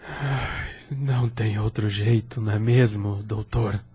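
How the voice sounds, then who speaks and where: A man playing a husky, grieving widower. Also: lowish voice, steady tone, a little slow, dark tone. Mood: subdued sorrow. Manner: emotional, earnest, plaintive delivery. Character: grieving widower, devoted, despairing, emotionally wounded husband. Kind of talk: melodramatic audio drama acting.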